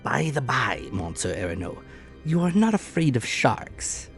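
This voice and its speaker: A man voicing a commanding, unruffled Victorian submarine captain in an audiobook, with measured, courteous speech that treats danger lightly. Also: mid-range voice, very animated, voice pushed up. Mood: courteous, nonchalant. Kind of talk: dramatic audiobook character voice. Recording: echoey room, music behind.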